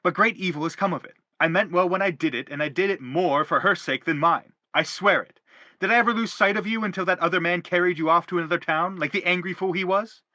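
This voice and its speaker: A man's voice: high-pitched, fast, bright, forceful tone, no room sound. An actor playing an anguished middle-aged father in a stage drama, pompous, wordy and insistent as he justifies himself. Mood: pleading, self-justifying. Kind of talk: theatrical stage drama acting.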